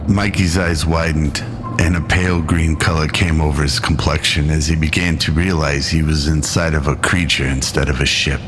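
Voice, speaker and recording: A man's voice: very deep voice, little pitch movement, normal speed, noisy background. A man delivering scripted narration for a radio-style drama. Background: music.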